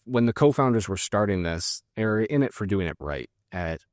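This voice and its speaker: A low-pitched man from Canada talks through science in a relaxed interview, stopping often to restart his sentences. The sound is close and dry, with no room around it. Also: normal speed, no room sound. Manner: hesitant, many fillers and restarts. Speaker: guest scientist. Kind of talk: casual conversational interview.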